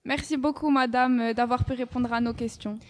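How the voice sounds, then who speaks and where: Teen girl doing school radio, high voice, polite n formal, reading her questions. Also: steady tone, brisk. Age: teenager. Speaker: student radio columnist and interviewer. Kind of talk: scripted column and interview questions.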